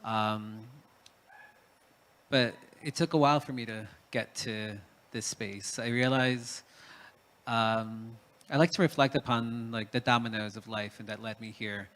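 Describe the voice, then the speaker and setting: A man's voice: medium pitch, steady tone, unhurried, a bit bright. Hesitant, reflective male panelist. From Peru. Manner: hesitant, with many fillers and restarts.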